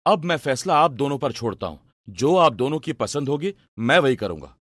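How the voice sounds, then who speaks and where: Dutiful young son, thoughtful and respectful, mid-range male pitch with lively movement, male actor. Animated moral story. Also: moderate pace, no room sound, clean studio sound. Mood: earnest.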